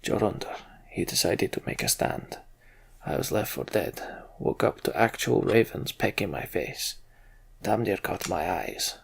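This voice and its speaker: Casting: male player as ancient giant warrior for fantasy game, grim battle survivor, bitter and blunt, heavy gravel, husky. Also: sits low, expressive, unhurried, exaggerated voice. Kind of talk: voiced fantasy game dialogue.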